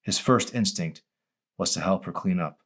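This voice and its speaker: A man reading his own novel as a dramatic audiobook, in a grave, deliberate storytelling manner. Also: low-pitched.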